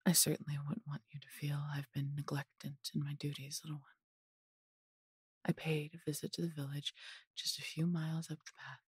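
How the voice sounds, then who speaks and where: Female dragon, acted by a woman, very breathy and husky, doting, possessive, coaxing, theatrical, fantasy audio roleplay, dry close mic. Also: low-pitched, level tone, a little fast, no echo. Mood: smug, teasing. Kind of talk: intimate fantasy audio roleplay, addressing the listener.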